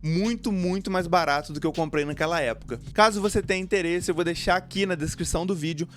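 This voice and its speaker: A man's voice: high-pitched, quick pace. A man with a Brazilian Portuguese accent presenting a product review in an explanatory, informal way. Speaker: motorcycle gear review video host. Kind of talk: product review narration to camera or voice-over. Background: background music.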